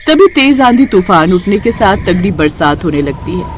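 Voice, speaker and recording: A woman's voice: fairly low pitch, sing-song, normal speed, faint music behind. A woman reading scripted narration for a moral family story as the storyteller. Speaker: storyteller narrating and voicing characters.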